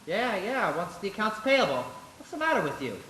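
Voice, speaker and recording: A man's voice: high voice, sing-song, moderate pace, pushed and bright, heavily acted. A man playing a kindly, earnest small-town loan officer in a live radio play, recorded in an echoey hall. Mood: alarmed. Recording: echoey hall, faint hiss.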